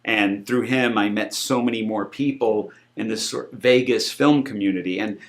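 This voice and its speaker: A man's voice: fairly low pitch, moderate pace. Casting: American male, middle-aged, enthusiastic guest in a casual promotional interview. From Massachusetts, USA. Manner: enthusiastic, effusive. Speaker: film producer, interview guest.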